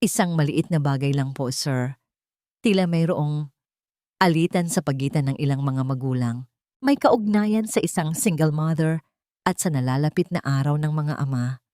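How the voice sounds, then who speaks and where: A woman's voice: low voice, normal speed, warm tone, no room sound, studio quality, close to an everyday voice. A woman voicing a polite, formal male executive assistant in an audio story. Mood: careful.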